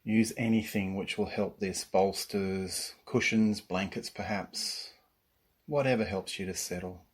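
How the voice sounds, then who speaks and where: A man leading a guided relaxation as a yoga nidra teacher, speaking in a calm, soothing way with long pauses between instructions. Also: deep voice, breathy edge.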